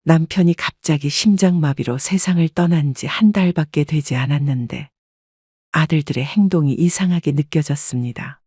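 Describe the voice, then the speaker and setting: A woman giving scripted first-person narration in an even, wistful storytelling manner. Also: deep voice, moderate pace, husky, no room sound, studio quality. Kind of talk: scripted audio drama narration.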